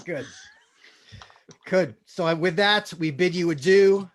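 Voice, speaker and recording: A man's voice: high voice, takes it slowly. A man acting as host and narrator of a comedy table read, in a mock-formal style.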